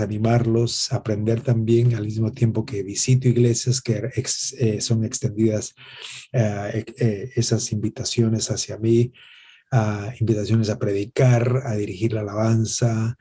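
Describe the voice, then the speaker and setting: A man, answering in an interview in a gracious, halting way with many pauses. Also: lowish voice, level tone, mellow, breathy edge. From Guatemala. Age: 60s. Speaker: interview guest, pastor and worship musician.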